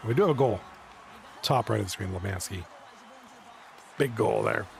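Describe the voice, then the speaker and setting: A man with a hoarse, fading voice doing casual play-by-play commentary on a live stream, chatting with viewers between plays. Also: lowish voice, lively intonation, moderate pace, slight background noise. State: hoarse, losing his voice. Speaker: esports league commentator.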